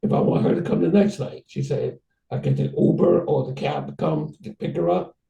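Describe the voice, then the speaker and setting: A man hosting a casual conversation, rambling and halting with many restarts. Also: on the high side, steady tone, gravelly, dark tone, echoey room, far from the mic, muffled recording. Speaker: host.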